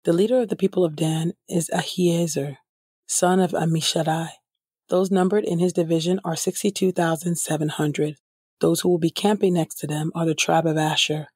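Husky woman reading scripture, dry sound. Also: fairly low pitch, fairly even intonation, moderate pace, darkish tone, dry recording. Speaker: podcast host and scripture reader.

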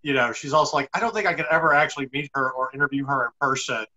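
Bright, forceful man interviewing. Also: medium pitch, very fast. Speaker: interviewer, show host. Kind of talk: casual video interview chat. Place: indoor room on a video call. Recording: slightly dull video-call audio.

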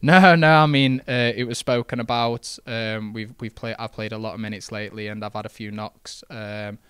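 A young man, a footballer answering post-match interview questions in a relaxed, modest way, with many fillers and restarts. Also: fairly low pitch, moderate pace, clear, resonant tone, no echo. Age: young adult. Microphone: handheld interview mic.

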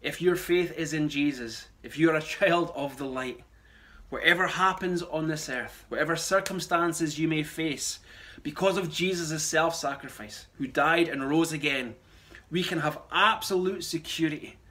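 Male pastor, thirties, slightly high voice, earnest and emphatic preaching delivery, recorded at home. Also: moderate pace, pushed and bright. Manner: earnest, emphatic, repeats key phrases. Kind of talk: short sermon address to camera. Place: small room at home, indoors.

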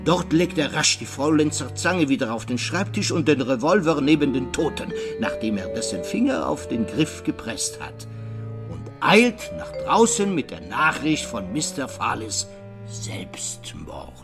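An actor playing a shrewd, self-assured older male detective in a German audio drama, speaking deliberately and theatrically with rhetorical questions. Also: medium pitch, very animated, gravelly, music in the background. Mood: suspenseful, explaining. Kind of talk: German radio play or audiobook acting.

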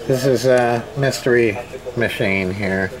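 Slow-talking man muttering over repair work. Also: mid-range voice, crowd noise, poor audio. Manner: halting, muttering to himself as he works. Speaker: radio repairman and video host. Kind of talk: casual hands-on repair commentary. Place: indoor repair workbench.

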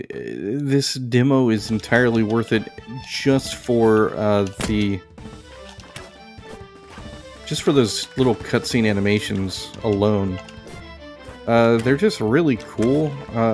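A man giving casual let's play commentary as a game streamer, thinking aloud in a halting way. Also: medium pitch, darkish tone. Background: game music.

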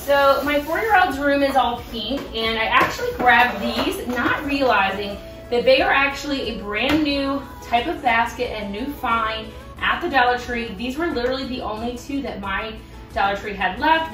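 A woman's voice: fairly high pitch, a bit bright, distant mic. Bubbly woman chatting in roomy kitchen. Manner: bubbly, chatty, laughs often. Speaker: vlogger. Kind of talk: casual haul chat. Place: home kitchen, indoors. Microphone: camera across the room. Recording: roomy kitchen, voice from off-mic, music behind.

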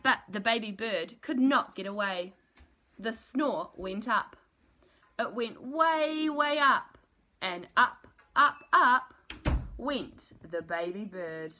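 A woman in her thirties reading a children's storybook aloud in an expressive, deliberate, emphatic way. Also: a little high, slight background noise. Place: small room at home, indoors.

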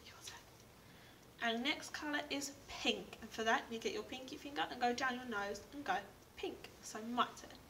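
Bright, high-voiced young woman teacher. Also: normal speed. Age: young adult. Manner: simple step-by-step instructions with repeated prompts. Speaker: primary school teacher. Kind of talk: teaching signs and reading a picture book to young children. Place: indoors in a school reading corner. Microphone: camera a few feet away. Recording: distant mic with background room noise.

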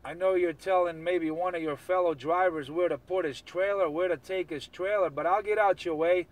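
A man's voice: sits high, steady tone, normal speed. A man talking casually in CB radio slang, repeating catchphrases as he operates and records. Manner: repetitive, chant-like catchphrases.

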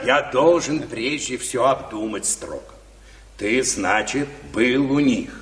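Man playing a slow, deliberate countryman. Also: medium pitch, far from the mic, a loud crowd, natural voice. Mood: thoughtful. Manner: theatrical. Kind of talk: verse radio play acting. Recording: reverberant hall, off the mic.

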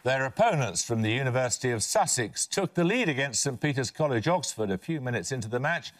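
An older man hosting a television quiz in a studio, with a crisp, clipped, authoritative delivery. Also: medium pitch, expressive, a little fast, some chatter behind, slight background noise. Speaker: quiz host.

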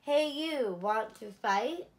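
Woman putting on a brash, high-pitched grouchy little ladybug. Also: animated, slow. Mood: brash challenge. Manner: brash, challenging, calling out. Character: grouchy, boastful little ladybug spoiling for a fight.

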